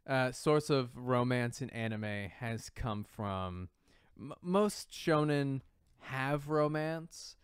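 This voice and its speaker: Guy podcast host just chatting, smooth clear voice, halting and repeats himself, no room echo. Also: mid-range voice, expressive, studio quality. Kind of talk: casual chat about manga and anime.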